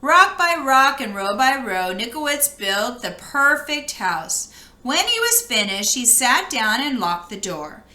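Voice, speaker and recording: A woman reading a children's storybook aloud in an expressive narrating style. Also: high voice, unhurried, echoey room. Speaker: storybook reader.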